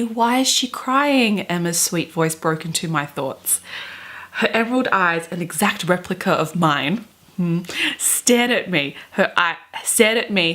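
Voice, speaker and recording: A woman's voice: fairly low pitch, fairly bright tone. Chatty, wry young woman vlogger. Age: 20s. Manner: chatty, wry, swears casually. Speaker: book vlogger. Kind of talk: casual chat mixed with dramatic readings of fan fiction. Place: small bedroom, indoors.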